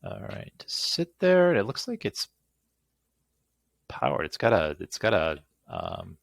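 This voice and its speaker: A man's voice: lowish voice, wildly swooping pitch, a little slow, vocal fry, no echo, clean studio sound. A middle-aged man rambling casually through a product unboxing in a goofy, grumbling, mildly profane way. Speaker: unboxing video host.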